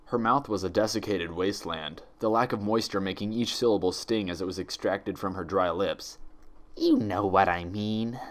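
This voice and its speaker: A man's voice: low voice, fairly quick, few pauses, fairly bright tone. A man reading fan fiction aloud as dramatic scripted story narration.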